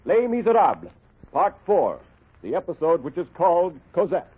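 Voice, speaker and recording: A man's voice: a little high, huge pitch swings, normal speed, husky. A man working as a radio announcer, formally introducing a drama in 1930s broadcast style. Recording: tinny, band-limited vintage radio recording.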